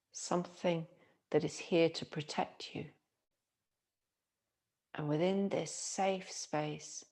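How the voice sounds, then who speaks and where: A woman yoga teacher guiding a relaxation meditation in a soothing, gentle manner with long pauses. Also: lowish voice.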